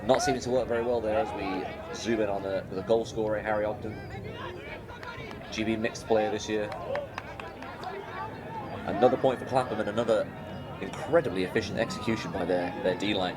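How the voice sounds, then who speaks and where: A man giving live play-by-play commentary on a match, steady and informative as he reads each move. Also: fairly low pitch, heavy background noise. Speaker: sports commentator. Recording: loud crowd behind commentary.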